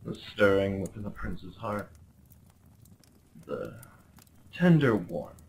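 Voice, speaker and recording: A man's voice: sits low, big pitch swings, slow pace, vocal fry, darkish tone, a little hiss or hum, heavily acted, low-quality recording. A man playing a smug, possessive crown prince in a roleplay audio drama, speaking with formal, condescending politeness and theatrical flair. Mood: tender, obsessed. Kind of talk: roleplay ASMR acting.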